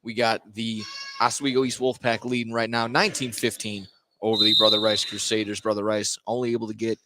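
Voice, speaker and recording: A man's voice: fairly low pitch, flat delivery, fairly quick. A man with an American accent doing live play-by-play radio commentary of a basketball game. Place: indoor sports gym. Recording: loud gym noise behind. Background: loud game ambience.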